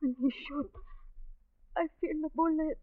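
Young woman, very high pleading voice, old radio drama, muffled sound. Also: fairly even intonation, measured pace, no echo. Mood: weak, tender. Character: anxious, tender young Mexican woman. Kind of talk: 1940s radio acting. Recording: dry, dull old radio recording.

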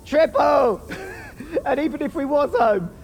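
A woman's voice: high voice, measured pace, darkish tone. A woman playing a giggly, blunt, bossy servant sidekick in an audio drama. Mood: gleeful.

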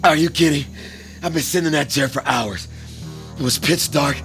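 A man's voice: a little high, normal speed, vocal fry. An actor playing a frightened man who was held captive, speaking in an anxious, pleading way, in an old radio drama. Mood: shaken. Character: frightened male captive turned survivor. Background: music.

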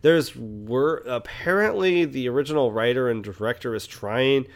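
A man with a mid-pitched voice co-hosts a casual movie discussion. He gives his opinions with enthusiasm and lays out background detail in a conversational, explanatory way. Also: moderate pace. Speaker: podcast co-host. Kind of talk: casual film review chat.

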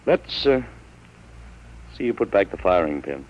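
Low, lively voice, a worried stammering dad, dull lo-fi old radio drama. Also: male voice, unhurried. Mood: bewildered. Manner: stammering, incredulous. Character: worried, bewildered middle-aged father. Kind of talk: 1940s radio drama acting.

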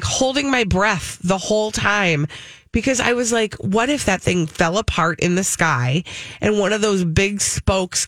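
A woman's voice: medium pitch, a bit bright, dry recording. A woman co-hosting a morning radio show, chatting casually and gushingly, often peppering her talk with "like". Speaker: fill-in radio co-host. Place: radio studio.

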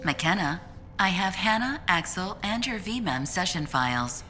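A woman's voice: lowish voice, very animated, a little slow, very noisy. A woman voicing a composed, literal computer assistant in an audio drama, speaking in a formal, unemotional, precise manner. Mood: flat, formal. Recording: long reverb, loud music behind.

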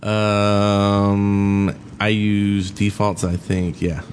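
Guy with a lowish voice rambling on a podcast, bit of noise behind him. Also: monotone, drawn-out words. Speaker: podcast panelist. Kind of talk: casual rambling chat. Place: gaming convention. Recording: live recording with faint background noise.

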